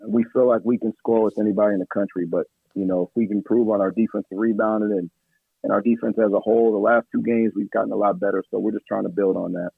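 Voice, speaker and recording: A man, an assistant basketball coach, answering radio interview questions in a measured way with many fillers, his voice hoarse from yelling at practice. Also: fairly low pitch, fairly even intonation, no room sound. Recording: tinny phone line.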